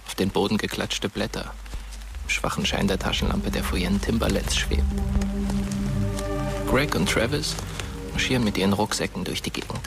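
Sombre crime-drama narrator, hushed and gravelly, music clearly underneath. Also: male voice, on the low side, moderate pace, pauses often. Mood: ominous. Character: sombre, watchful male storyteller of a dark tale. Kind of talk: scripted radio play narration.

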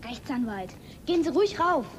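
An actress in a radio play playing a helpful, matter-of-fact youngster. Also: female voice, sits high, expressive, brisk, a little hiss or hum. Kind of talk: German radio play acting.

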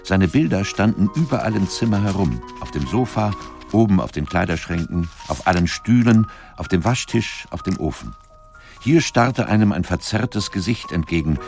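A man reading scripted documentary narration in a measured, articulate storytelling manner. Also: low-pitched, lively intonation, vocal fry, a little mellow, soft background music.

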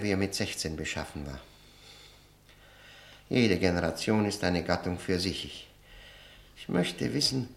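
An actor in a German radio play playing a bitter, ironic, educated convict who speaks deliberately and pauses for effect. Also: male voice, fairly low pitch, roomy sound, close to an everyday voice.

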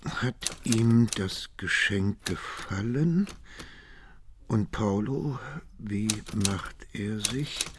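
Aging mafia patriarch: low-pitched, very slow, pious and patient, radio crime drama. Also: male voice, lively intonation, background noise, full character voice. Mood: tender, solemn. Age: older. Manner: measured, deliberate, sententious.

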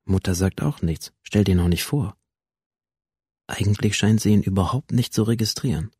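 An actor playing a cold, alienated teenage schoolboy who narrates a radio drama in a detached, brooding, deliberate way. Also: male voice, on the low side, a little mellow, slightly rough, no room sound, studio quality. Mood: numb, detached. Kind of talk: first-person radio drama monologue.

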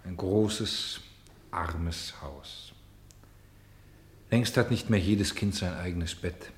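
Low-voiced solemn narrator in roomy echo. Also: male voice, exaggerated voice. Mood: solemn, sorrowful. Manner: measured, solemn declamation. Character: narrator turned player, voicing cities, streets and houses. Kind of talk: German radio play acting.

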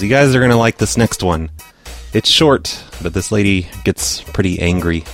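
A man hosting a prank call show, chatting casually in an offhand, sarcastic way. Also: on the low side. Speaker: podcast host. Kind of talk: casual chat.

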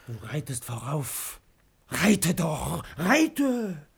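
An actor playing a menacing bandit who bullies his accomplice, husky and conspiratorial, in a classical radio drama. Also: on the high side, big pitch swings, extremely slow, full character voice. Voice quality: husky, breathy. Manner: conspiratorial, threatening.

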